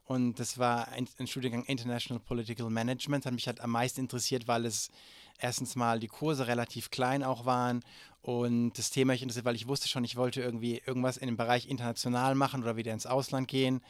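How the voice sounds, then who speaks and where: German guy in his forties answering questions, stops and restarts a lot, smooth clear voice. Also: mid-range voice, little pitch movement, quick pace, no room sound, studio quality. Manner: hesitant, many filler sounds and restarts. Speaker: interview guest. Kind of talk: conversational interview answers.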